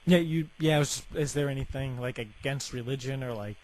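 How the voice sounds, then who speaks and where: A young man making a deadpan prank phone call in a rambling, hesitant, casual way full of filler words. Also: mid-range voice, fairly quick. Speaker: prank caller. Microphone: telephone. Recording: phone call with faint background noise.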